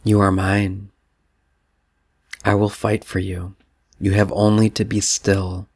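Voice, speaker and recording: A man voicing God the Father as a tender, loving male spirit, repeating gentle affirmations. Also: fairly low pitch, flat delivery, slow pace, no echo.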